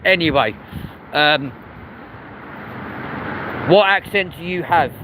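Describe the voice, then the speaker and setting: A chatty young man with a mixed London accent, walking the city streets as he hosts a live stream. He jokes around and reads viewers' comments aloud in a fairly high voice, with a faint hiss of outdoor noise behind him. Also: takes it slowly. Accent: London, with a mix of areas. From South West London, England. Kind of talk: casual live-stream chat.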